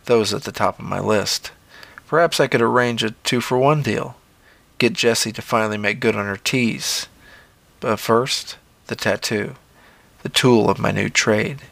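A man's voice: lowish voice, vocal fry, fairly bright tone, no echo. A man reading scripted narration as the host and narrator of a horror fiction podcast.

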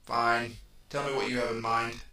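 A man's voice: mid-range voice, normal speed, very echoey, distant mic. A man doing a cold, stern alien voice. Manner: stern, flat, commanding. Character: cold alien entity, male, bossy and impatient.

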